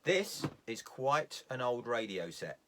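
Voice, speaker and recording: A man's voice: medium pitch, big pitch swings, takes it slowly, background noise. A man giving an informal, hands-on instructional talk as a sailing instructor, plain-spoken and step by step, repeating key points for emphasis.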